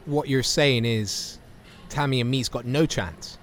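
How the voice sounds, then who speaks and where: A man's voice: medium pitch, measured pace, fairly bright tone, a little hiss or hum, lightly acted. An actor playing a weary, bitter young homeless man with a British accent in an audio play. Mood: disheartened. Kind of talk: audio play monologue and dialogue.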